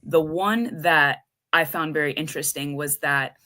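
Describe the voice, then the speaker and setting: A woman co-hosting a casual podcast, brisk and explanatory. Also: deep voice, pushed and bright. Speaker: podcast co-host.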